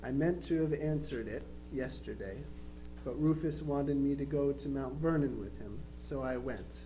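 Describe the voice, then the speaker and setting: A man playing a plainspoken twenty-three-year-old Union soldier, reading his affectionate letters home in an unhurried, matter-of-fact way. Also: medium pitch, natural voice. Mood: fond, conversational. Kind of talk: dramatized reading of historical letters. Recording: faintly noisy live recording with hum.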